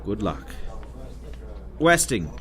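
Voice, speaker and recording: Wry director, male actor, deep, lively intonation, formal authority, music underneath. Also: extremely slow, heavily acted. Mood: commanding. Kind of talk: audio drama acting. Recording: music behind the voice.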